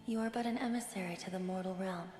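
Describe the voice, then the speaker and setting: An actress playing a cold, merciless envoy of Heaven in a video game, stern and imperious with measured words. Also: female voice, medium pitch, steady tone, natural voice. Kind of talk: dramatic video game voice acting. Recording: echoing voice under loud music.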